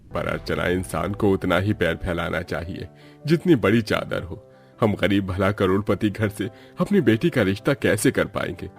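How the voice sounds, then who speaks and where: A man voicing a worried, practical middle-aged father in an animated story. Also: sits low, normal speed, vocal fry, faint music behind. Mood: resigned. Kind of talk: animated story character dialogue.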